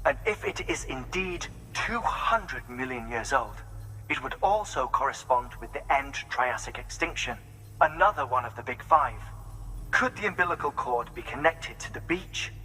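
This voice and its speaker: A grave, intense male researcher in a video game, obsessed with discovery. His voice is bright and tense at a middling pitch. His phrasing is formal and measured, over faint background noise. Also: animated, rough recording. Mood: intense, urgent. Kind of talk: video game cutscene dialogue.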